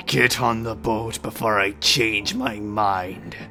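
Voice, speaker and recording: A man playing a gruff, menacing sailor in an audio drama, with a gravelly voice and curt, rough folksy speech. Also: on the low side, very slow, bright, forceful tone, soft background music, exaggerated voice. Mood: threatening, grudging.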